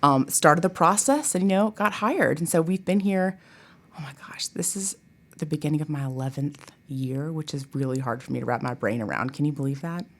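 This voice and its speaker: A middle-aged woman from Tennessee with a fairly low voice talks as a guest on an interview show. She is chatty and expressive, dropping informal asides into a casual, conversational delivery. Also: fairly quick. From Tennessee, United States. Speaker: guest, theater professor. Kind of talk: casual chat.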